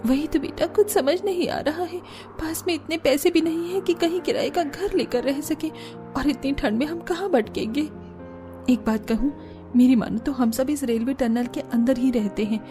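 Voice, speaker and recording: A woman voicing a worried young daughter-in-law in a cartoon drama, earnest and plaintive, with a soft voice. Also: sits high, moderate pace, over music, natural voice.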